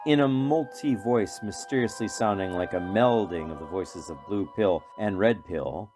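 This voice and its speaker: A man's voice: on the low side, moderate pace, a little mellow, soft background music. A man reading scripted narration for a radio play in a dramatic storytelling manner.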